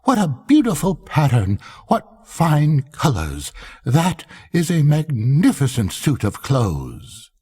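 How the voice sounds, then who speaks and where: A man voicing gushing, flattering courtiers who exclaim their admiration in a storybook tale. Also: fairly high pitch, slow, husky, voice pushed up, heavily acted. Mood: delighted. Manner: exclamatory, effusive praise.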